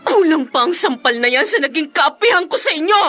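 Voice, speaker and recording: A wronged young woman in a Filipino radio drama, bitter and combative. Her voice sits a bit high and moves in big emotional swings. She declaims with hard, vengeful defiance. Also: animated, brisk, pushed and bright, faint music behind. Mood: furious.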